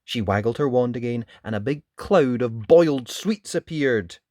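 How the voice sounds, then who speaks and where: A storyteller reading a children's picture book aloud in an expressive, dramatic way. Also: mid-range voice, moderate pace, no echo, clean studio sound. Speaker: storybook reader. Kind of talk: animated children's read-aloud narration.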